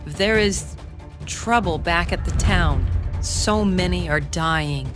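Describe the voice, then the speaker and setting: A woman performs a compassionate, determined townswoman in a medieval audio drama. Her delivery is earnest and urgent, yet her words are slowly paced. Very prominent music plays behind her. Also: lowish voice.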